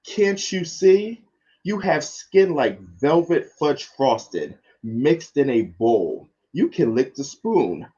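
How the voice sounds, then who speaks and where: A man voicing a loving, reassuring mother comforting her young son in a children's picture book, with slow, tender, emphatic delivery. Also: on the high side, very animated, warm tone, breathy edge, highs cut off, voice pushed up, heavily acted. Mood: tender, adoring.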